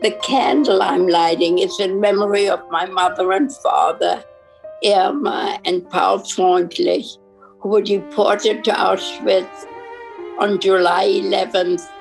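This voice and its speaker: A man in his nineties from Germany, a Holocaust survivor, slowly and carefully reciting dates and names in a solemn remembrance. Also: high-pitched, creaky, rough recording. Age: 90s. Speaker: Holocaust survivor lighting a memorial candle.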